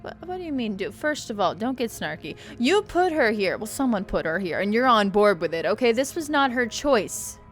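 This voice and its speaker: A woman's voice: fairly high pitch, big pitch swings, normal speed, hardly any pauses, faint music behind. A young adult woman streaming a game with casual commentary, rambling and joking with stammering restarts.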